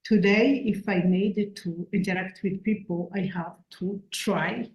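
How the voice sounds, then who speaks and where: A Rwandan woman speaks slowly and earnestly, her first language Kinyarwanda, often pausing to reach for an English word. Her voice is soft and mellow, and it rings in an echoey room. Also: medium pitch, fairly even intonation. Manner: earnest, searching for English words. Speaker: invited speaker. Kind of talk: halting public talk.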